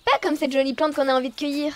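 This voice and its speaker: A woman's voice: high-pitched, steady tone, fast talker, heavy background noise, lightly acted. A woman voicing a fussy young noblewoman who chatters and panics constantly. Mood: anxious. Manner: chattering, whiny, easily panicked.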